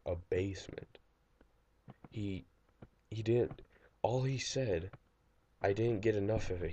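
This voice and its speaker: A young man playing a traumatized survivor recording an audio log, halting and distraught, stammering and repeating himself in an amateur audio drama. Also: low voice, warm tone, highs cut off.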